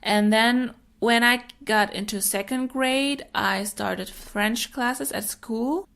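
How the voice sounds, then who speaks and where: A woman's voice: fairly high pitch, takes it slowly, creaky, fairly bright tone. A young adult woman hosting a solo podcast, a native German speaker talking in a friendly, explanatory way. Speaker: podcast host.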